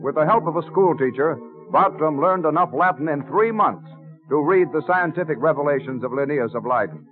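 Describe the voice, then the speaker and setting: Vintage radio narrator: man, slightly high voice, formal scripted storytelling, narrow tinny sound. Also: brisk. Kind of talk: mid-century American radio scripted narration. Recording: tinny old radio recording with music. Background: music.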